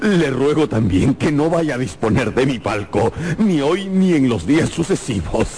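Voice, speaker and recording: Imperious opera director, theatrically animated. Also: male voice, mid-range voice, moderate pace. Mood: mocking, theatrical. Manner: theatrical, commanding. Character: irascible, imperious male director of Paris opera house. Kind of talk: radio drama acting. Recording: radio drama over background music.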